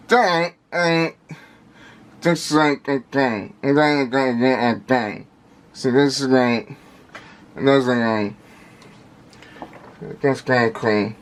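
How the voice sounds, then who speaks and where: A man giving casual unscripted product commentary in halting, repetitive fragments, with labored, indistinct articulation from a speech impediment. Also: medium pitch. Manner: halting, repetitive, fragmented phrasing with effortful delivery. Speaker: vlogger unboxing audio gear.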